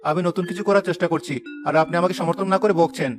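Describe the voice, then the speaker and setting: A man's voice: high voice, fairly even intonation, very fast, music in the background, lightly acted. A man voicing a defiant, boastful young weaver son who argues his case in a village cartoon. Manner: boastful, argumentative. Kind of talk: moral-story cartoon dialogue.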